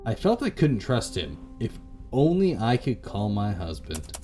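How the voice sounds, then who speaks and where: Low voiced guy doing a let's play, super animated reading, slangy asides, music in the background. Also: unhurried. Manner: irreverent, slangy. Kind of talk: reading a visual novel aloud with casual commentary.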